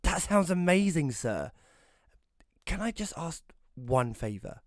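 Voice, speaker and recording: A man's voice: on the high side, very animated, slow pace, no room sound, studio quality. A man playing a self-doubting young magazine columnist who narrates an audio drama in a wry, hesitant manner. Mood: nervous, polite. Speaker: narrator and lead character. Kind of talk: first-person audio drama narration and dialogue.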